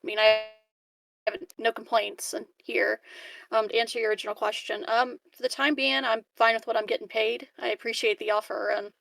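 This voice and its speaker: A woman playing a creaky-voiced, candid colonist. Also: a little high, level tone, a little fast, fairly bright tone, dry recording, rough recording. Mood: apologetic, candid. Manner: hesitant, candid, conversational. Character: frank, uneasy but polite female colonist employee. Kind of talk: improvised tabletop roleplay dialogue.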